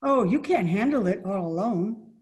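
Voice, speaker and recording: A woman playing a genteel former fiancée in 1940s radio comedy style, coy and gently chiding. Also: mid-range voice, expressive, moderate pace, natural voice. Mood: hospitable.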